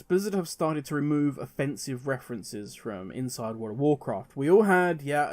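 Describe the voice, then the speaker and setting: A British man giving conversational opinion commentary to viewers as a gaming host, explaining in a measured way with deliberate pauses. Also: mid-range voice, a little mellow. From United Kingdom. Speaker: gaming video creator and host.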